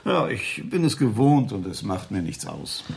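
A diligent male chemist in a radio thriller. His voice sits low with noticeable fry, and he explains himself politely and formally. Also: very animated, a little slow, full character voice. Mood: polite, factual. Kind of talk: 1970s German radio thriller acting.

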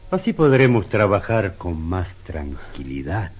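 Deep, slow, sinister gentleman. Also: very animated, breathy edge, exaggerated voice. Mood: cool, courteous. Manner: measured, polite, reserved. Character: sinister, courteous Hollywood actor who impersonates Satan. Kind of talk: radio drama acting.

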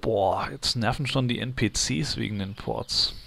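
A man's voice: medium pitch, unhurried, creaky. An actor playing an irritable, curt mage who grumbles his way through a comedy audio play. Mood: grumbling, fed up. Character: grumpy, put-upon male mage in an online game. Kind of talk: radio comedy acting. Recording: loud background noise.

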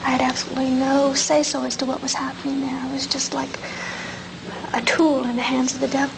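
A woman's voice: high-pitched, monotone, brisk, vocal fry. An American woman giving a subdued personal recollection in an archive interview. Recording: noisy archive interview recording. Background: noise.

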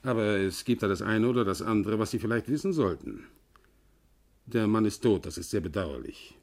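A brisk male executive argues his case in a fairly low voice. He is formal, emphatic and self-justifying, in sixties radio thriller style. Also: lively intonation, vocal fry. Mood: insistent. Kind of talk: 1960s German radio crime drama acting.

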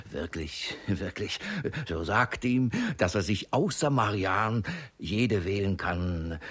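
Stingy, short-tempered old miser, radio play, raspy and fairly low, halting speech. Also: male voice, a bit bright, exaggerated voice. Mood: pleased, sly. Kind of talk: theatrical radio play dialogue.

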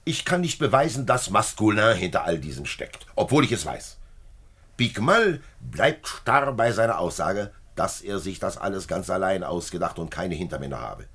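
A calm, shrewd commissioner in a 1950s radio crime drama. The voice sits very low, husky and full of gravel. He explains hard truths in a measured, matter-of-fact way. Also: exaggerated voice. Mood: firm, probing. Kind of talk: 1950s German-language radio play acting.

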